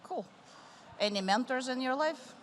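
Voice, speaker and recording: A middle-aged woman moderating a live panel on a conference stage, speaking warmly and rambling with restarts. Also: high voice, lively intonation, moderate pace, slight background noise.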